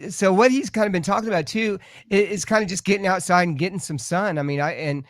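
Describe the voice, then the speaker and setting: A man's voice: high voice, fast talker, no echo, studio quality. A man hosting an interview, asking encouraging and reflective questions. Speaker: interview host.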